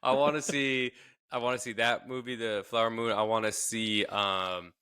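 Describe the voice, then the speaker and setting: A man's voice: medium pitch, fairly even intonation, normal speed, sharp tone, clear, resonant tone. A young adult man co-hosting a casual podcast chat, laid-back, laughing often and repeating his phrases. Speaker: podcast co-host. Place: home setup, remote recording.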